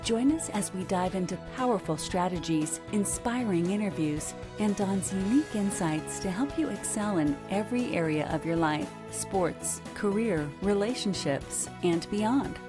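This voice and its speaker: A woman reading a scripted podcast introduction with a polished, measured delivery. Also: medium pitch, expressive. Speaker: show announcer. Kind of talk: scripted promo voice-over. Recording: voice-over with music bed.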